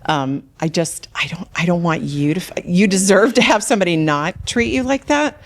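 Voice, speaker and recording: A woman's voice: lowish voice, normal speed. An older woman speaking candidly and casually as a dating show contestant, considerate and a little hesitant.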